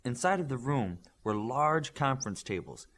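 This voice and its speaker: A man's voice: mid-range voice, animated, moderate pace, fairly bright tone. A man reading a scripted story aloud as an audiobook narrator. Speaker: audiobook reader. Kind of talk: scripted fiction narration with acted dialogue.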